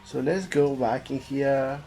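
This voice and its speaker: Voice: mid-range voice, fairly even intonation, takes it slowly, creaky, warm tone, faint music behind. A speaker from Spain with an Andalusian accent and Spanish as a first language, chatting casually on a live stream while working and thinking aloud, often repeating words. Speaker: game developer streaming his art.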